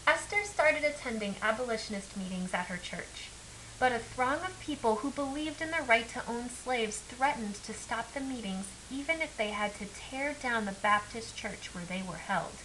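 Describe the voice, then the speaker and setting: A woman narrates a children's story expressively. Her voice is bright and forward, sitting a little high, with some hiss in the recording. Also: animated, moderate pace, low-quality recording. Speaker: picture-book reader. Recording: clear background hiss.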